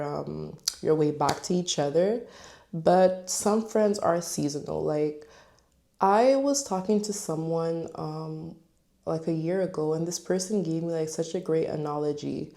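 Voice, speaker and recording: A woman's voice: on the low side, gravelly, warm tone. A woman in her twenties talking candidly to camera on her own channel, chatty and full of fillers. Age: 20s. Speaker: vlogger. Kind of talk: casual talk to camera.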